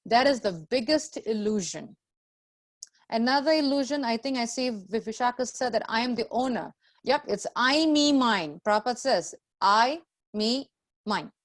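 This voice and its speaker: A woman's voice: on the high side, animated, moderate pace. A woman teaching a scripture class to a group, explaining in a didactic way with repeated phrases and rhetorical questions.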